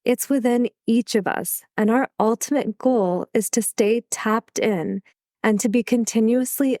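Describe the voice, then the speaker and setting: A woman's voice: mid-range voice, dry recording, clean studio sound. Slightly sick woman podcast host. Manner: calm, measured reading from a script. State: sick, voice a little off. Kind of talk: scripted solo podcast on spiritual growth.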